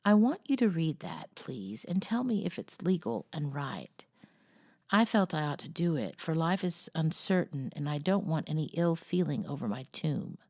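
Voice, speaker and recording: A woman's voice: low voice, big pitch swings, moderate pace, a little mellow, no echo, clean studio sound, full character voice. A woman voicing an earnest, self-important young girl in a storybook, speaking primly. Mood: solemn, earnest. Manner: prim, earnest.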